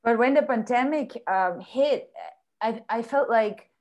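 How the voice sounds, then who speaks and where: A woman from Austria, an artist and former therapist, speaking on a video-call panel with halting, filler-filled phrasing. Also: a little high, darkish tone. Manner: searching, filler-heavy, repeats words. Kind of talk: personal account on a panel.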